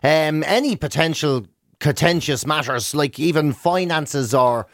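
High-voiced middle-aged Irish man bantering. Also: measured pace, dry recording, studio quality. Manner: animated storyteller who acts out other people's remarks. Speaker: radio show co-host. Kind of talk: casual comic banter and anecdotes.

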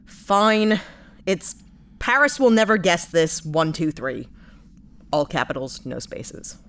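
Low-voiced, curt spaceship captain. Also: female voice, very animated, slow pace, a bit bright, heavily acted. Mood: irritated. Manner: curt, dry, sarcastic. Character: brisk, exasperated, no-nonsense female spaceship captain. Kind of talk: sci-fi audio drama acting.

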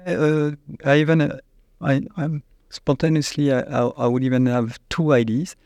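A man with a French accent, a company CEO, answering interview questions in a halting, searching way with frequent restarts. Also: medium pitch, flat delivery, darkish tone, dry recording, clean studio sound. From France. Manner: halting, restarts often, searches for English words.

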